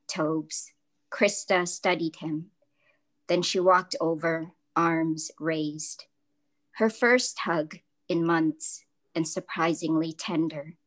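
A woman in her forties, an author, reading fiction aloud calmly and chatting about it on a video call from home. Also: lowish voice. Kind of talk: literary reading and casual discussion. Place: home room with floral wallpaper.

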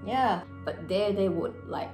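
A woman's voice: medium pitch, a little slow, echoey room, soft background music. A young woman from Thailand with a Thai accent, chatting expressively to camera about her experiences in a casual vlog style. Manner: chatty, expressive, gestures with hands. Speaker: vlogger. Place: small home room, indoors.

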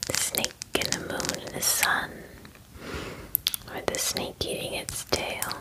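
A woman in her twenties whispers softly and gently in a chatty, unhurried ASMR personal-attention roleplay, close to the microphone. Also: rough recording. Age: 20s. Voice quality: soft, breathy whisper. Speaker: ASMR performer playing a werewolf spa groomer. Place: small indoor room.

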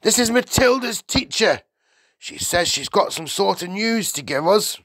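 A man's voice: high voice, expressive, measured pace, fairly bright tone, dry recording, pitched above the performer's own voice, heavily acted. A man voicing a rude, impatient middle-aged father in a storybook, with a curt, dismissive way of snapping out his words. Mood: grudging.

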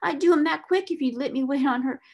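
A timid young scullery maid from a storybook, acted by a woman reader. The voice sits high and tearful. The maid stammers and pleads humbly in plain, uneducated servant speech. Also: quick pace. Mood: tearful pleading.

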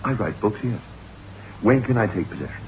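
An actor playing a brooding, reflective novelist who tells his haunted tale in measured 1960s radio drama style, on a tinny, noisy old recording. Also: lowish voice, very animated. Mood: keen, polite.

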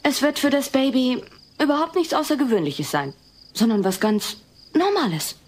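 High-voiced sitcom daughter. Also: female voice, big pitch swings, normal speed. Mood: thoughtful. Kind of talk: dubbed sitcom audio play. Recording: noisy old cassette audio.

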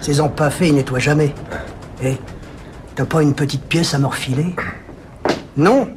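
A very high-pitched male beggar, played by an actor in a radio play, grumbling and needling in coarse slang. He is scornful and sour, in a noisy washroom scene. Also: normal speed, natural voice. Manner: coarse, griping street slang. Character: grumbling, begging washroom hanger-on, scornful of men in suits. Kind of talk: radio drama acting.

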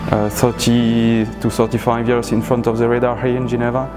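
A man's voice: mid-range voice, little pitch movement, fairly quick. Male, 30s, level intonation, tentative interview delivery, music bed behind. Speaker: air traffic control staff interviewee. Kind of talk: interview answers. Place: indoor atrium with plants. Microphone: lapel. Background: background music.

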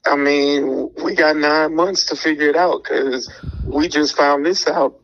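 A man's voice: fairly high pitch, steady tone, measured pace, fairly bright tone, a little hiss or hum. American man on a dull phone line. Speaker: person on the phone. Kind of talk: casual phone argument.

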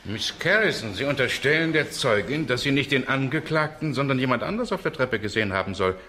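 A man's voice: medium pitch, animated, brisk, bright, forceful tone, a little hiss or hum. An actor playing a stern, authoritative male judge, formal and admonishing, in a German crime radio play.

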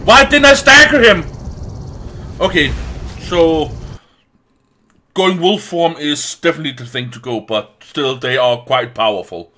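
A man's voice: fairly high pitch, animated, unhurried, pauses often, pushed and bright. A man giving casual gameplay commentary as a let's play host, muttering and swearing to himself. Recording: noisy, game ambience behind. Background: video game sounds.

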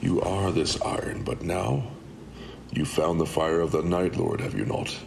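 An actor playing a big, patient, wise blacksmith mentor with a deep, gravelly voice in a fantasy audio drama. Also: male voice, steady tone, measured pace, noisy background, exaggerated voice. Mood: wise, encouraging.

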